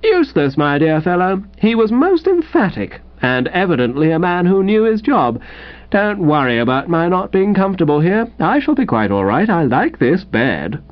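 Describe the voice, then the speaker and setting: A man voicing a smug, languid young upper-class English gentleman with a condescending, affected drawl, in a comic storybook reading. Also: sits high, very animated, normal speed, lo-fi sound, voice pushed up. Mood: smug, patronizing.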